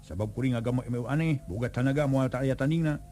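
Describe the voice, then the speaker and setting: A man's voice: mid-range voice, quick pace, dark tone, close to an everyday voice. A man voicing a mighty strongman who speaks firmly and boasts of his strength.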